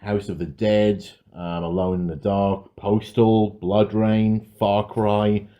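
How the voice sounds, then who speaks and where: A man's voice: on the low side, level tone, slow, warm tone, muffled recording. A man co-hosting a podcast, chatting casually about films with a sardonic, sweary manner. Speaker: podcast co-host. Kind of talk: casual film discussion, sweary.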